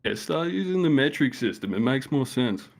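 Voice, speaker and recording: A man's voice: mid-range voice, very animated, moderate pace, gravelly. A man from Perth with an Australian accent, calling in and chatting in a laid-back, teasing way. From Perth, Western Australia. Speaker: caller. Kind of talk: casual chat.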